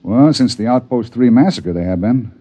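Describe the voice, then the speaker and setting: Guy doing a suspicious old-radio prospector dad, brusque and rough spoken, voice jumps around a lot. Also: mid-range voice, animated, moderate pace, warm tone, natural voice. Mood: weary, gruff. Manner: brusque, commanding. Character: gruff, suspicious frontier miner father on Mars. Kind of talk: old-time radio drama acting. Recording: vintage radio drama recording.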